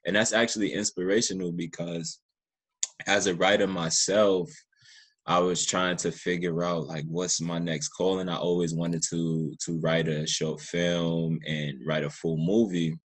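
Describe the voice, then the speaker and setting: A man's voice: sits low, level tone, unhurried, slightly rough. A man hosting a casual podcast interview, speaking in an earnest, effusive and encouraging manner. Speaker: podcast host and writer.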